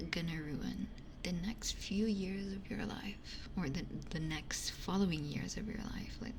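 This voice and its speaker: A woman streamer whispering in a breathy, conversational ASMR style, talking reflectively close to a binaural microphone. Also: lowish voice, noisy background. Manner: reflective, conversational, repeats phrases for emphasis. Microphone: close binaural ear microphone.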